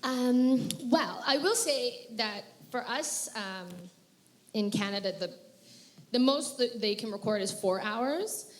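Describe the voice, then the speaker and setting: A woman's voice: on the high side, slow. A woman voice actress answering questions at a convention panel, chatty and rambling with frequent fillers and laughs.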